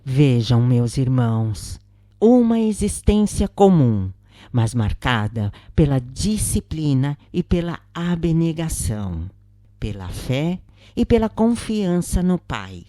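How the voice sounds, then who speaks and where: A woman's voice: low voice, very animated, slow pace, dark tone, no echo, clean studio sound. A woman with a Brazilian accent reading a scripted first-person spiritual account as a narrator.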